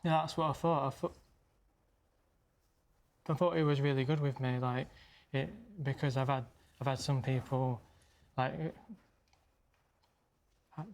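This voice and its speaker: A man who stammers, talking casually in a halting way with blocks and repeated words. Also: mid-range voice, little pitch movement. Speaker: interview guest, social media influencer. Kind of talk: casual personal talk. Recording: clear background noise.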